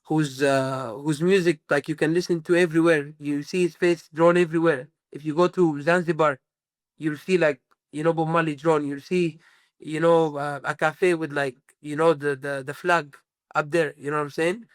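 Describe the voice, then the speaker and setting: A man from Sudan talking as a musician guest, his voice a little high and recorded dry, close to the mic. He rambles through his answers with lots of "you know" and restarts, in a casual interview. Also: steady tone, moderate pace, no echo. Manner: rambling, many fillers and restarts.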